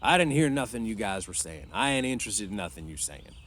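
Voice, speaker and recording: A man's voice: lowish voice, big pitch swings, a little fast, a bit bright, a little hiss or hum. A man voicing a meek, uneasy old ranch swamper in a storybook read-aloud, talking in a folksy, placating way. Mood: defensive, uneasy.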